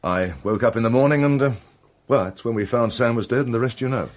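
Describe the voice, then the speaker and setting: An actor playing a hesitant, protective man giving his account in a halting, subdued way in a radio mystery drama. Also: medium pitch, lightly acted. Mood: halting, defensive. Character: hesitant, protective male witness explaining himself.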